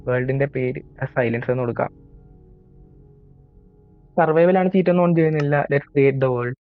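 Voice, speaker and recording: A young man with a Malayali accent giving casual, self-mocking commentary while he plays a horror game. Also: smooth. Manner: chatty and self-mocking, admits he scares easily. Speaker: gaming YouTuber. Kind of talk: casual let's-play commentary. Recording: tinny, low-quality mic.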